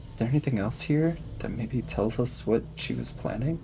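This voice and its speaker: A man's voice: mid-range voice, animated, normal speed, a little mellow, slight background noise. A man improvising casually in character as a player in a tabletop roleplaying game. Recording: music bed behind the voice.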